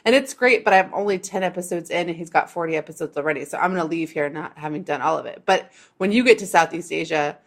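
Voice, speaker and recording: A woman hosting a travel history podcast, talking casually and quickly with frequent laughs. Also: on the low side. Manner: chatty, quick, laughs between phrases. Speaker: podcast host.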